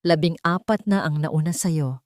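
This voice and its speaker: A woman's voice: low voice, unhurried, a little mellow, no echo, studio quality. Woman voicing a cold, weary, bitter billionaire father. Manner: cold, tired, emotionless, commanding. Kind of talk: audiobook drama dialogue.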